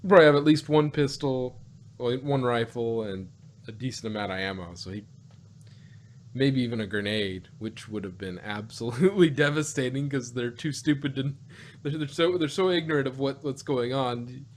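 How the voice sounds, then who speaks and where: A man co-hosting a review podcast in casual, unscripted chat, rambling and often rephrasing himself with animated asides. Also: mid-range voice, moderate pace, slight background noise. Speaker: podcast co-host reviewing audio dramas.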